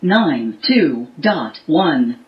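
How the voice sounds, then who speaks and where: A woman's voice: low-pitched, very animated, drawn-out words. A woman's automated voice assistant giving short status announcements. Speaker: voice assistant of a car radio. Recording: dull, echoey speaker playback under loud music.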